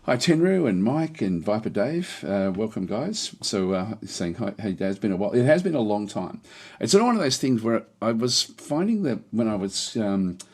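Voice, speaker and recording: A middle-aged man streaming a game, chatting casually with a slightly hoarse voice that is on the mend, rambling with many restarts. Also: lowish voice, sing-song, darkish tone. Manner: rambling, halting, lots of restarts and fillers. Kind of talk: casual live-stream chat.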